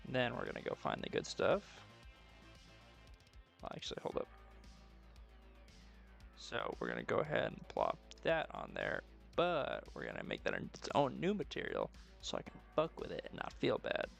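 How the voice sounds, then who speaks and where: A man's voice: medium pitch, wildly swooping pitch, moderate pace, creaky, long pauses, fairly bright tone, faint music behind. A man casually thinking aloud on a live creative stream, muttering to himself in a playful, profane way. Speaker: streamer doing 3D art.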